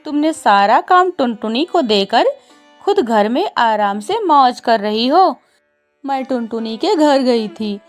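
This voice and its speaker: A woman plays a chatty female parrot in a cartoon tale. The voice is high and exclamatory, teasing as it relays news, with music underneath. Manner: exclamatory, chiding. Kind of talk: children's cartoon story voicing.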